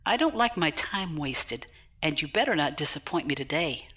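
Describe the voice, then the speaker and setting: A woman's voice: low voice, animated, normal speed, fairly bright tone, husky, exaggerated voice. A woman playing a no-nonsense military defense lawyer in an audio drama, with a blunt, clipped, dry manner. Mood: blunt, impatient.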